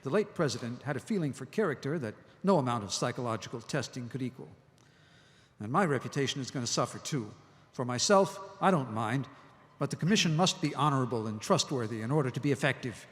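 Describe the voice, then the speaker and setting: A man's voice: medium pitch, lively intonation, fast, a bit bright, close to an everyday voice. A man acting as a proud, weary and powerful commissioner in a live audio drama. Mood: grim, brooding. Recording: echoey hall, live stage recording.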